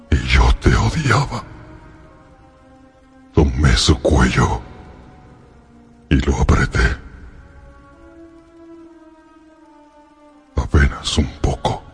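An anguished monster in a radio play, full of bitterness. The voice is very low and drags slowly, yet swings widely in pitch as the creature's feelings surge. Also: male voice, heavily acted. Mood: cold, bitter. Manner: slow, brooding, emotionally volatile delivery. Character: anguished, vengeful male monster telling his creator his story. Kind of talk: dramatic radio play acting.

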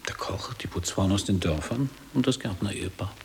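A man playing a probing former boarding school pupil back on a visit, speaking deliberately in a German radio drama. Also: deep voice, vocal fry. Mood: lecturing. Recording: long hall reverb, audible background noise.

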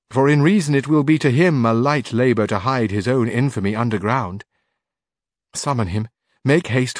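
A man's voice: medium pitch, no room sound, studio quality, voice pushed up, close to an everyday voice. A man reading the part of a proud, vengeful noblewoman in a storybook, impassioned and declamatory.

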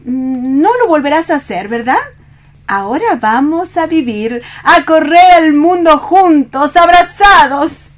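Radio drama, actress as an impulsive woman in love, high and unhurried, theatrical and domineering. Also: expressive. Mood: tender, coaxing. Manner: theatrical, effusive, coaxing. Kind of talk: radio play reading.

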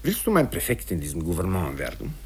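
A man voicing a gleeful, sly provincial governor in a radio story, chuckling and excitable, affectionate in manner. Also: low-pitched, quick pace, breathy edge, full character voice. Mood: chuckling delight. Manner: chuckling, excitable, swooping delivery. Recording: hissy old radio recording from cassette.

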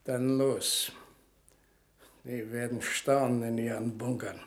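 An actor playing a brisk, callous world president in a radio play. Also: male voice, mid-range voice, steady tone, gravelly, slight background noise. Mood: callous, gleeful. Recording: echoey room, old radio drama.

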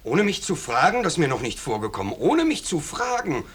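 An actor playing a pompous, blustering but cowardly middle-aged husband and house owner in 1950s radio drama style. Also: male voice, on the high side, big pitch swings, brisk, a bit bright. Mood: indignant. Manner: indignant, self-important, emphatic. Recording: noisy vintage 1950s radio recording.

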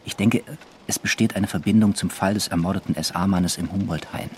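Man playing a low-voiced, earnest police investigator. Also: slight background noise, natural voice. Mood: grave, careful. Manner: measured, serious. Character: earnest male police investigator reporting a conspiracy. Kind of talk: German radio drama acting.

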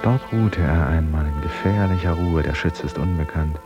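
An actor playing a haunted, introspective river traveller in a radio drama, speaking in deliberate, brooding, measured phrases. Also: male voice, extremely low pitch, dull audio, music in the background. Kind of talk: German radio drama interior monologue.

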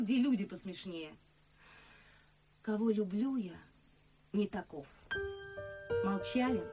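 A woman's voice: medium pitch, lightly acted. An actress playing a dreamy, proud young noblewoman in love, speaking classical verse theatrically in a radio play. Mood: tender, dreamy. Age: young adult. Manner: theatrical, expressive verse delivery. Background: music.

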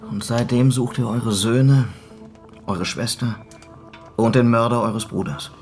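A man's voice: mid-range voice, unhurried, a little mellow, faint music behind, natural voice. Earnest male western hero played by an actor. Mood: concerned. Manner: serious, questioning. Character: earnest, concerned western hero asking probing questions. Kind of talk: German radio play acting.

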